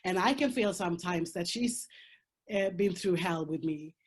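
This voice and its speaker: A woman's voice: fairly low pitch, normal speed, husky. Frank Swedish-accented woman on a video call. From Sweden. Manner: frank and emphatic, swears, laughs through hard topics. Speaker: panel guest. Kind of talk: candid conversational talk.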